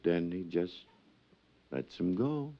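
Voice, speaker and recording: An actor playing a vague, pious, stubborn newspaper seller from the docks in a 1950s radio drama, rambling and evasive, with a gravelly voice. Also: male voice, sits low, lively intonation. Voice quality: gravelly creaky voice. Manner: rambling, evasive, slow to answer. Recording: dull old radio recording.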